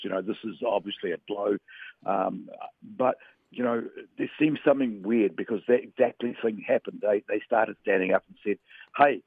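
A man's voice: on the low side, vocal fry, husky, no room sound. A man from Western Samoa who talks in a rambling, hesitant way with many fillers, calling into a radio sports chat. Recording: tinny phone line.

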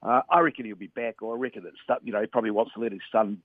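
A man's voice: fairly low pitch, fairly even intonation, rapid-fire, no echo. A man from Western Samoa who talks in a rambling, hesitant way with many fillers, calling into a radio sports chat. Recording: tinny phone line.

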